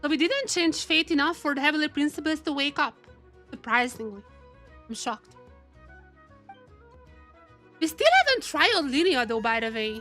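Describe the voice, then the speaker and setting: Female game streamer, high voice, excitable off-the-cuff commentary, music in the background. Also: moderate pace, long pauses. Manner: excitable, exclamatory, thinking aloud. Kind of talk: live gameplay commentary, casual chat.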